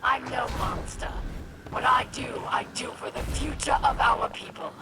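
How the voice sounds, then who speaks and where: A woman's voice: high voice, unhurried, vocal fry, very noisy. A woman playing a proud, defiant alien warlord villain in a video game, cold and contemptuous in a declamatory way. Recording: distant voice under loud game music.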